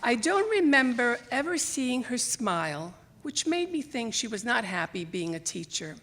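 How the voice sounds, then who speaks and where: A woman's voice: a little high, normal speed. An older woman who grew up speaking another language reads her own scripted personal essay aloud to a live audience, expressively, as a storyteller. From Greece, emigrated to the United States as a child. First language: Greek or Italian. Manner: expressive, acts out remembered dialogue. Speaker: writer reading own memoir essay. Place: library theater stage, live event.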